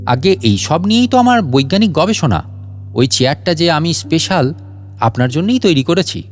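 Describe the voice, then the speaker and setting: Man playing a sly, mock-polite gentleman inventor, animated. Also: fairly high pitch, moderate pace, soft background music. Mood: smug. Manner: mock-courteous, teasing.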